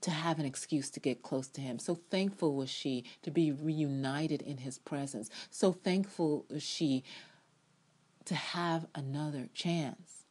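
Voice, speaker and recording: A low-pitched American woman reads her own written review aloud as a dramatic performance. She is emphatic and sassy, leaning theatrically into her opinions and breaking into conversational ad libs. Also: a little slow, darkish tone, slightly rough.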